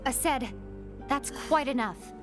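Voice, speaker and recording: An actress playing a calm, dutiful young woman who keeps the peace in a fantasy game cutscene, formal and polite. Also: high voice, slow pace. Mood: composed, firm. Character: calm, dutiful, diplomatic young female apprentice mediator. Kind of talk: dubbed animated fantasy game cutscene acting. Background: music.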